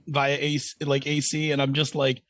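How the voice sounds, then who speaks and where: A man's voice: mid-range voice, completely flat delivery, moderate pace, a bit bright, no room sound, clean studio sound. A man from Buffalo, New York, co-hosting a podcast, rambling and mildly grumpy with lots of fillers. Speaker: podcast co-host. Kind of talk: casual chat.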